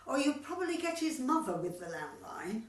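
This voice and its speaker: A woman's voice: high voice, sing-song, moderate pace, distant mic. A woman playing a sweet, scatty elderly widow in an amateur radio comedy, with a fussy, rambling manner. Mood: sweet, helpful. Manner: fussy, flustered, innocently rambling. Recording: echoey room, off the mic.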